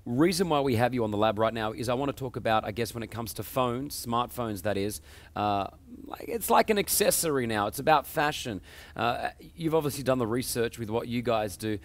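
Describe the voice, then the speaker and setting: A man's voice: mid-range voice, lively intonation, brisk. A man hosting a promotional interview show, speaking as an emphatic presenter. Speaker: host interviewer.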